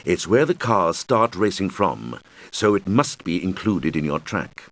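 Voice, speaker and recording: Deep, animated kids' game guide. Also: male voice, measured pace, full character voice. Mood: patient, instructive. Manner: patient, clearly explanatory, addressing children. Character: helpful male game guide instructing young players. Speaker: game tutorial guide character. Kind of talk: scripted instructional narration for a children's video game.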